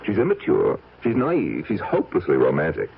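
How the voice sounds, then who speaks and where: An actor in a radio drama playing a smooth, cynical fortune hunter who talks with mocking, insolent self-possession. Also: male voice, sits low, a little fast, full character voice. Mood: mocking, accusing. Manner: suave, mocking, calmly insolent. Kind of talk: 1970s radio drama acting. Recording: muffled, husky old radio broadcast.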